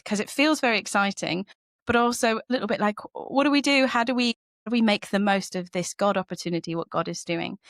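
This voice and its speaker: A woman's voice: mid-range voice, fairly bright tone, no room sound. A woman hosting a church podcast, reading a scripted introduction and then putting upbeat questions to her guest. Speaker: podcast host.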